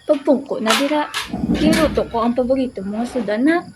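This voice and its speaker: A woman's voice: high voice, a little fast, lightly acted. A woman playing a caring mother with a Visayan Filipino accent in an amateur radio drama. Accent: Filipino, Western Visayas. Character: caring mother fussing over her child at mealtime. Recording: noticeable background noise, clinking.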